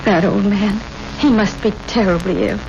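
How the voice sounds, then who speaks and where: A woman's voice: mid-range voice, normal speed, close to an everyday voice. An actress playing an earnest, pleading village wife and mother in a 1970s radio drama, with an intense manner. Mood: intent, coaxing. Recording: muffled, noisy old radio recording.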